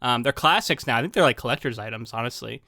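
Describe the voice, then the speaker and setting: Congested podcast guy, rambling and animated, mid pitch, dry room. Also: quick pace, creaky, dry recording. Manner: rambling, animated, frequent ums and restarts. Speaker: podcast co-host. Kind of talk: casual podcast chat.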